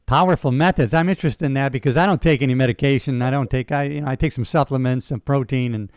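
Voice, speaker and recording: A man's voice: medium pitch, quick pace, smooth, no room sound, clean studio sound. A man in his sixties hosting a podcast, chatty and enthusiastic, talking to his guest like a buddy. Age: 60s. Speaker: podcast host. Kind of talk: casual interview talk.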